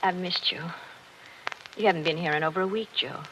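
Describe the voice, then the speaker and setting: A woman's voice: lowish voice, fairly quick, a bit bright, natural voice. A woman playing a refined, lonely army wife hiding her unhappiness, genteel and theatrical in 1940s radio drama style. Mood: wistful, strained. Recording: dull, noisy vintage radio recording.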